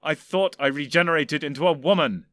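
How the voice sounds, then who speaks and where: A man voicing an excitable, snappish Scottish man who speaks indignantly in a storybook reading. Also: a little high, a little fast, dry recording, pitched above the performer's own voice, lightly acted. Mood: defensive. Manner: snapping, indignant, near-yelling.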